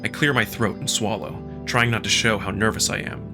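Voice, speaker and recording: Guy with lowish voice doing dramatic first person story narration, expressive, music playing under it. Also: fairly quick, gravelly. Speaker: narrator and performer of a visual novel.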